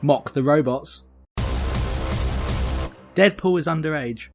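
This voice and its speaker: A man's voice: fairly high pitch, slow pace, creaky, music in the background. A man co-hosting a podcast, chatting informally in a dry, joking way with the odd mild swear. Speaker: podcast co-host. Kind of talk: casual chat and informal news reading.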